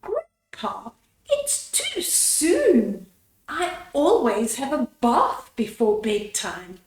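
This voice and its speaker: A woman's voice: high-pitched, sing-song, reverberant, heavily acted. A woman voicing a pleading, stubborn little bunny girl in a children's storybook, drawing out her protests. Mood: pleading, protesting. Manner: drawn-out, protesting. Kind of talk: children's storybook read-aloud.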